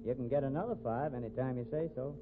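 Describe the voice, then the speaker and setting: A man's voice: medium pitch, fast talker, narrow, tinny audio, close to an everyday voice. Man acting a cocky cowboy on thin old radio. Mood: cocky, assured. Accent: American. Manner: folksy Western drawling slang. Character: cocky, evasive rodeo cowboy, confident bronc rider. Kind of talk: 1950s radio drama acting. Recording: thin, noisy vintage radio recording.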